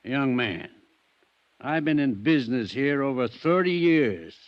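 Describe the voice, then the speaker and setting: An American actor playing an older country veterinarian in 1950s radio style, folksy, plain-spoken and stubbornly testy. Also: male voice, medium pitch, animated, a little slow, no echo, natural voice. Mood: defensive, indignant. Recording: dull vintage radio recording.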